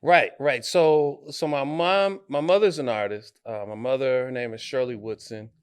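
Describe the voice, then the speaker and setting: A man from Detroit, a guest artist, answering interview questions in a thoughtful, halting way, with many fillers and restarts. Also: medium pitch, steady tone, clear, resonant tone. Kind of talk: conversational interview.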